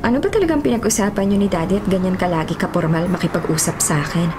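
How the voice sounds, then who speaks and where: An actress playing a headstrong young college student, a mayor's daughter, in a Filipino radio drama, expressive and insistent, with a Filipino accent. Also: female voice, on the low side, fast, over music, lightly acted. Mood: frustrated.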